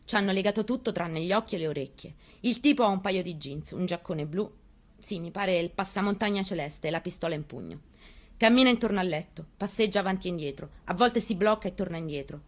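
An actress performing a first-person theatrical monologue reading, in a measured, intimate and quietly intense way. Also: female voice, on the low side, expressive. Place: radio broadcast of a stage reading.

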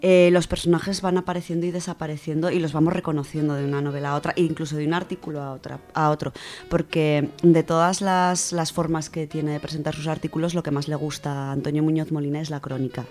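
A woman's voice: deep voice, steady tone, fast talker, darkish tone, faint music behind. A woman with an accent from Spain presenting a literary radio segment in a conversational, enthusiastic, semi-scripted way.

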